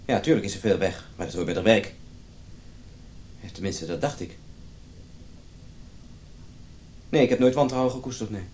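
A man's voice: fairly low pitch, lively intonation, fairly quick, darkish tone, close to an everyday voice. A male actor playing a wounded, domineering middle-aged husband in a radio drama, with an agitated manner. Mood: stunned, guarded. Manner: agitated, stammering when upset.

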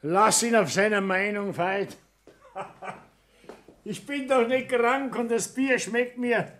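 High-voiced stubborn older Bavarian man. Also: slow, fairly bright tone, a little hiss or hum. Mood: gruff, matter-of-fact. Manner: blunt, grumbling, dismissive. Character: stubborn, gruff ailing family businessman who denies his illness. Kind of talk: naturalistic 1960s German radio play acting.

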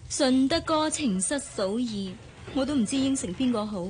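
A woman's voice: high voice, expressive, moderate pace, darkish tone, a little hiss or hum. A woman acting in a Cantonese radio drama with a Hong Kong accent, playing a young, choosy, sweetly teasing office worker. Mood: fussy, coy.

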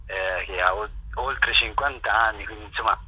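A middle-aged man giving halting, reflective, spontaneous interview answers as a festival director, often repeating words. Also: fairly low pitch, pushed and bright, husky, dull audio.

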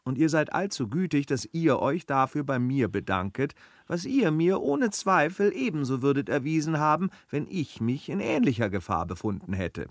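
Courteous, animated gentleman traveller. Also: on the high side, normal speed, few pauses, no echo, studio quality. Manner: polite, formal, articulate. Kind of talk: German radio play, classical period dialogue.

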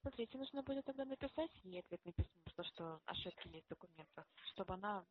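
A woman's voice: medium pitch, lively intonation. A woman making a businesslike phone call as an enrollment specialist. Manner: businesslike, brisk. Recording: dull phone call, faint noise.

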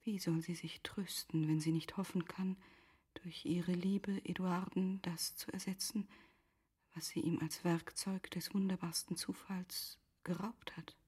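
Grieving noblewoman, very husky and hushed. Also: lowish voice, little pitch movement. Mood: anguished self-reproach. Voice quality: very husky, breathy, half-whispered. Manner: solemn, measured, formal literary diction. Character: grieving, resigned noblewoman Charlotte, self-reproaching and composed. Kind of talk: literary radio drama acting.

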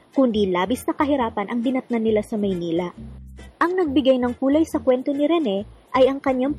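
A woman presents a scripted radio programme. She reads letters as a narrator would, her articulation slightly soft-edged, with faint music underneath. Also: on the high side, slightly rough. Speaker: radio drama host and letter reader. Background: soft background music.

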